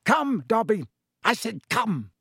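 A man voicing a haughty middle-aged aristocratic villain who sneers and turns softly menacing. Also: a little high, wildly swooping pitch, slow, vocal fry, husky, no echo, studio quality, voice pushed up, exaggerated voice. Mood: angry. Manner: sneering, menacing, hissing when soft.

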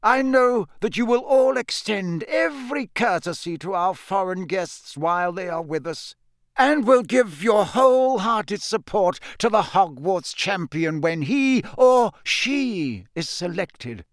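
A man playing an elderly, kindly and authoritative wizard headmaster giving a formal, measured address in a storybook reading. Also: sits high, expressive, no echo, clean studio sound, pitched above the performer's own voice. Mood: courteous, brisk.

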